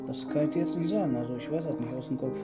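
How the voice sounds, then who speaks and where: A woman's voice: a bass voice, very fast. A woman hosting a gaming video, talking casually with a co-op partner while playing. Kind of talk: casual co-op gameplay chat. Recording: guitar music behind the voice. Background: plucked guitar music.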